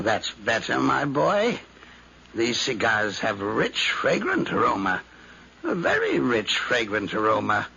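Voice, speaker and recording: Muffled old radio: peevish elderly uncle, creaky, insistent, domineering. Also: male voice, mid-range voice, sharp tone, full character voice. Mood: languid, savoring. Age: older. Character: fussy, domineering older uncle with a cigar. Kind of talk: 1950s radio drama acting. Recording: muffled, faintly hissy 1951 radio recording.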